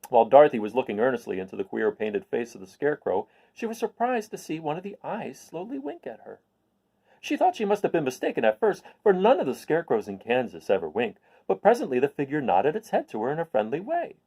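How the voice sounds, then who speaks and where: Very animated male storyteller, mellow voice. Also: medium pitch, fast, gravelly. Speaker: storyteller, host of a read-aloud series. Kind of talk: expressive children's storybook narration.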